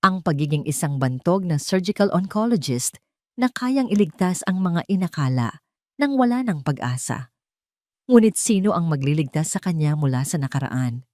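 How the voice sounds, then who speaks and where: A woman's voice: low-pitched, very animated, normal speed, dark tone, no room sound, studio quality. A woman with a Filipino accent narrating a scripted romantic drama in a solemn, melodramatic way. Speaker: storyteller. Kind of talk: scripted dramatic audio love story narration.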